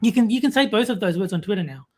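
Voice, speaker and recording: A woman's voice: medium pitch, lively intonation, very fast, a little mellow. An Australian woman co-hosting a casual talk stream, chatty and full of fillers, reading viewer comments aloud. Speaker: podcast co-host reading chat. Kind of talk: casual chat.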